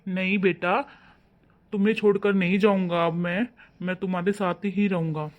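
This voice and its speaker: A man's voice: high-pitched, fairly even intonation, normal speed, narrow, tinny audio, close to an everyday voice. A man playing a stern father who scolds his wife and comforts his daughter. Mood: tender. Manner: scolding loudly, then softening to coax. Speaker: actor in a family drama. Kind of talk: amateur family drama acting.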